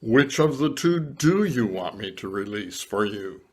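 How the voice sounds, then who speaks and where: A man's voice: fairly low pitch, expressive, unhurried, slightly rough. A man playing a Roman governor who addresses a crowd in a declamatory way, in a dramatised scripture reading. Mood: questioning.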